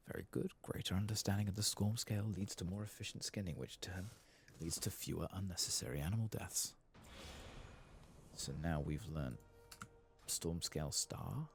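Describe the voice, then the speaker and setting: A man giving soft-spoken, sometimes whispered ASMR commentary on a game, murmuring to himself and reading text aloud. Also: deep voice, vocal fry, a little hiss or hum. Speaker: ASMR gaming channel host.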